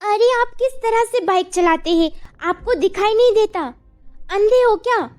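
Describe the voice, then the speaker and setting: A woman playing an emotional, devoted young widowed mother in an animated story drama, with music behind her. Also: very high voice, normal speed. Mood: angry, scolding. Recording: background music over the voice.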